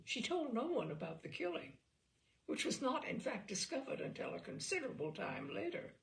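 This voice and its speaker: A woman's voice: high voice, sing-song, moderate pace, vocal fry, low-quality recording. A woman reading a story aloud with dry, wry storytelling. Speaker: reader of stories.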